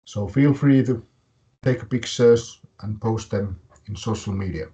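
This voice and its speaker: Slow-paced Finnish man presenting. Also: on the low side, warm tone. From Finland. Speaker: webinar host and fitness academy director. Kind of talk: live webinar presentation.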